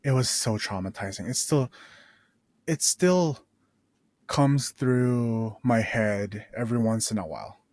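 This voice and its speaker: A young man in his twenties hosts a casual podcast and tells a personal story at an unhurried pace. He rambles, restarts his sentences and drops in laid-back slang. The room sounds dry, with little reverb. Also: mid-range voice, animated, darkish tone. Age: 20s. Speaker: podcast host.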